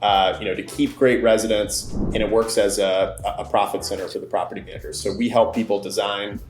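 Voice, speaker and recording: A man's voice: on the low side, fairly even intonation, a little fast, roomy sound, a little hiss or hum. A man answering as a company guest in an interview, friendly and fluent with frequent fillers.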